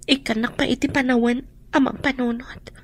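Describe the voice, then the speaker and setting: A woman acting as a wary, dutiful and soft-hearted young unmarried elder sister in an Ilocano radio drama, with earnest, expressive delivery. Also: sits high, moderate pace. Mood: doubtful, hurt.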